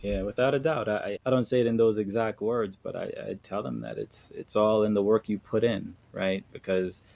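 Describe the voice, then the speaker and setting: Earnest, easygoing man with a low voice. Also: brisk, gravelly, no echo. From New York, USA. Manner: relaxed and earnest, with repeated words and frequent "right?" tags. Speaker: podcast guest. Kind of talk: conversational interview.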